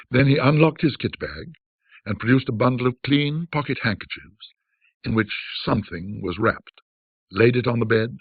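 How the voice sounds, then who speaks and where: A man narrating a classic ghost story in a measured, dramatic, old-fashioned storytelling manner. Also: fairly low pitch, slightly rough, dry recording, phone-quality sound.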